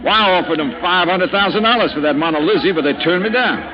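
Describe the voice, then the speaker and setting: A man's voice: high-pitched, quick pace, pitched above the performer's own voice, lightly acted. A man putting on a brash Texan oilman. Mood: boastful. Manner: loud folksy drawl, says partner. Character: brash rich Texas oilman, folksy and boastful. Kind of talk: 1950s radio drama acting. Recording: dull 1950s radio broadcast with music.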